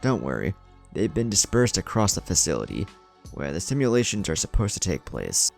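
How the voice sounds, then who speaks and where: Guy doing a shadowy villain, calm but maniacal, taunting, low and gravelly, story narration. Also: brisk, soft background music, full character voice. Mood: calm menace.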